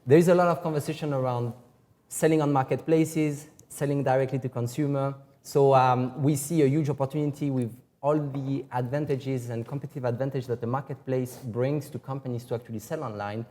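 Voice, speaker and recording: A man's voice: mid-range voice, fairly even intonation, a little fast, warm tone. A man moderating a live webinar, upbeat and welcoming, inviting the audience to jump in with questions. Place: company headquarters office.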